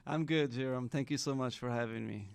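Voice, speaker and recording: A man from Morocco, a guest entrepreneur talking casually in an interview, halting and repeating words, laughing often. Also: mid-range voice, creaky, clear, resonant tone, no room sound. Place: Miami.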